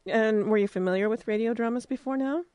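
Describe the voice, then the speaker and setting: A woman's voice: on the high side, fast talker, darkish tone, no room sound. An American woman hosting a casual interview, talking in an informal, chatty way. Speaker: interviewer, radio drama producer.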